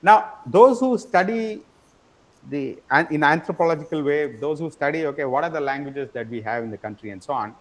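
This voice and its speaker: A man teaching an online class, explaining step by step, often pausing with "uh" and asking "right?" to check in with his students. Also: on the high side, lively intonation, low-quality recording. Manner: explanatory, frequent tag question "right?"; repeats words and hesitates with "uh". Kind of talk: online lecture.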